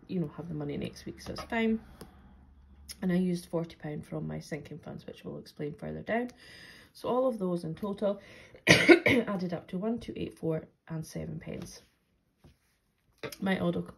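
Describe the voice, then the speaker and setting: A Scottish woman with a low, husky, gravelly voice chats at a kitchen table, rambling through her week in an easy, friendly way. She sounds chesty, as if a cold is coming on, and fills her talk with ums and restarts. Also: darkish tone. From Scotland. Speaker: budgeting vlogger. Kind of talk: casual chatty to-camera talk. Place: at a wooden table indoors.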